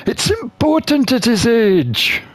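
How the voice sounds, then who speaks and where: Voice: mid-range voice, very animated, slow, no room sound. A gamer reading a visual novel aloud and voicing its characters. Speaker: gamer playing and narrating.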